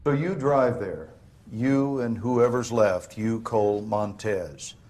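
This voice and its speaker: An actor playing a hard, skeptical government investigator who presses and talks down to a soldier in a military game cutscene. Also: male voice, lowish voice, slow, a little mellow. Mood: probing. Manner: stern, pressing, condescending. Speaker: interrogator.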